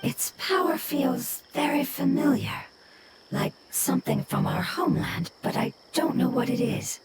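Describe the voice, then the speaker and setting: An actress voicing an ancient, gentle, solemn elemental creature in a video game, speaking formally and with measured explanation. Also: female voice, sits high, lively intonation, heavy background noise. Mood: calm, polite. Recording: loud game music behind voice. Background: music.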